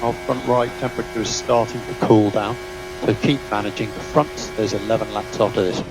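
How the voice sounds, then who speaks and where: A man's voice: fairly low pitch, steady tone, fairly quick. A man working as a race engineer, giving terse, businesslike technical instructions and updates over team radio. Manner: clipped, businesslike, technical delivery. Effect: team radio transmission. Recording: noisy team radio, engine and vehicle hum.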